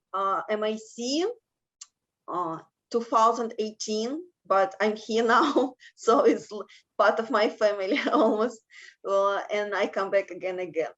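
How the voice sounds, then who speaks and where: A woman's voice: medium pitch, lo-fi sound. A woman from Russia, speaking hesitantly with many fillers as an interviewee on a video call. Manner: hesitant, many fillers, halting non-native phrasing. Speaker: alum interviewee. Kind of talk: panel discussion over video call.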